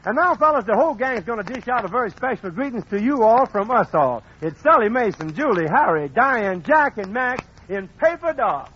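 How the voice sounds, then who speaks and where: A man hosting a 1940s radio variety show, playing the brisk straight man to a comic. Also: very high-pitched, big pitch swings, background noise. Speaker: show host. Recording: muffled old radio broadcast.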